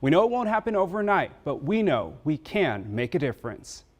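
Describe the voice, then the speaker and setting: A man anchoring a local news feature, narrating in a measured, earnest, scripted way. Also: fairly high pitch, sing-song. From Vietnamese and Chinese American.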